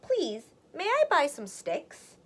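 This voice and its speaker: A woman's voice: sits high, wildly swooping pitch, slow, voice pushed up, exaggerated voice. A woman voicing a polite, plucky young male little pig in a storybook, with squealing pleas and cries. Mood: polite, eager.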